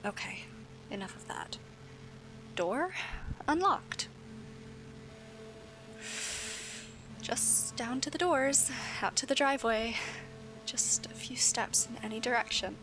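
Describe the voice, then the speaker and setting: A woman playing an anxious, housebound woman who confides in halting, self-deprecating chatter over a radio broadcast. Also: on the high side, lively intonation, over music. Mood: steeling herself. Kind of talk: post-apocalyptic radio broadcast monologue. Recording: noisy radio transmission, ambient hiss.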